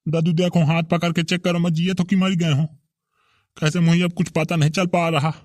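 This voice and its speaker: A man's voice: a little high, flat delivery, brisk, darkish tone, no echo, studio quality, lightly acted. A man voicing a timid, fretful village man in a comedy cartoon, with a Bundeli accent.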